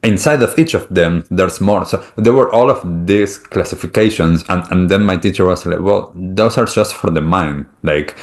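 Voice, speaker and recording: A middle-aged man with an Argentinian accent, a native Spanish speaker, talking reflectively and at length as a podcast host. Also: low-pitched. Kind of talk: reflective conversational interview talk.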